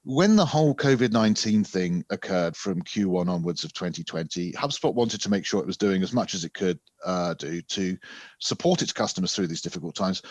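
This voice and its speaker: A man hosting an interview podcast, speaking in a measured, formal and polite way. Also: fairly low pitch, dry recording.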